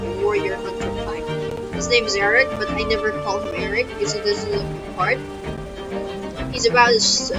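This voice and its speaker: A woman from the Philippines, speaking English, narrating a story game as she plays. She talks her choices through out loud, restarting her sentences, in a lively voice pitched a little high. Loud game music plays beneath her. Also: poor audio. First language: Filipino. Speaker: gaming YouTuber. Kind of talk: let's-play commentary, reading game text aloud.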